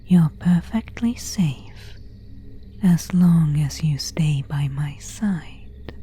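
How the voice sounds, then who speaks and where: Lady acting out a courteous but menacing vampire woman, asmr roleplay, super slow dark breathy whisper. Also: deep voice, lively intonation, exaggerated voice. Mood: softly alluring. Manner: slow, formal, old-fashioned phrasing. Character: seductive, predatory female vampire, courteous and menacing.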